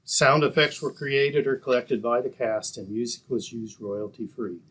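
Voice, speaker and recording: A man's voice: medium pitch, normal speed, fairly bright tone, breathy edge, poor audio. A man reading scripted announcements as an old-time radio announcer.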